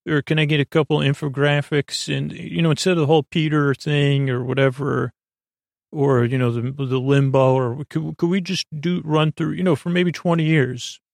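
Guy with a creaky gravelly voice rambling soothingly for a sleep podcast, stumbles and restarts, really dry close sound. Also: on the high side, steady tone, no room sound. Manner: rambling, halting, self-interrupting; lulling, soothing. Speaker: podcast host. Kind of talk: meandering bedtime podcast monologue.

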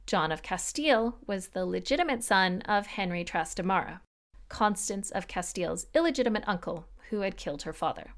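A woman with a mid-pitched voice presents a history podcast as its host. She reads scripted narration in a clear explanatory manner and drops in casual, lightly wry asides. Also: animated, fairly quick.

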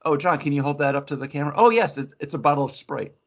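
A man's voice: on the high side, rapid-fire. A man co-hosting a podcast in a jokey, rambling, casual chat with friends. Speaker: podcast co-host. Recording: dull lo-fi voice recording.

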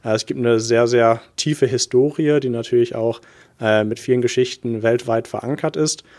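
A young man from England answers a podcast host's questions at a mid pitch. He speaks earnestly but haltingly, often pausing with fillers as he searches for words. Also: steady tone, a little mellow. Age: young adult. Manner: hesitant, many fillers, earnest. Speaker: guest, wine investment manager. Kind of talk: interview answers.

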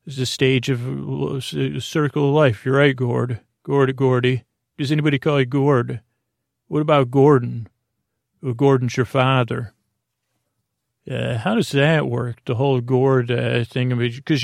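Gravelly, monotone man rambling softly to sleepless listeners. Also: medium pitch, dry recording, clean studio sound. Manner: meandering, halting, trailing off and repeating himself. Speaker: podcast host telling bedtime stories to help listeners sleep. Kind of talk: rambling, whimsical sleep-podcast monologue.

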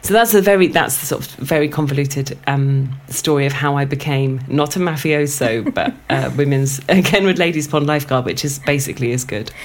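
A woman's voice: a bass voice, brisk, few pauses. A woman guest telling a rambling personal story in casual conversation, laughing often. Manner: chatty and rambling, laughs often, many fillers. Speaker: guest, writer and former lifeguard.

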